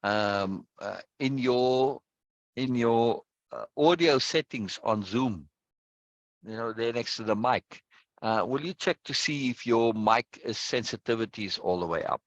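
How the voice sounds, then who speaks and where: A man leading a casual chat over a video call on a close boom mic, explaining his point at length and often checking "right?" or "yes?". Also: unhurried, dry recording, lo-fi sound. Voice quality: loud. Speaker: discussion leader.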